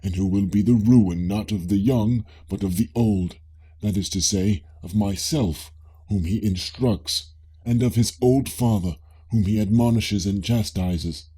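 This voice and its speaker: A man's voice: on the low side, unhurried, mellow, breathy edge. A man voicing an inquisitive, mock-humble ancient Greek philosopher, formal and earnest, in an audiobook dialogue.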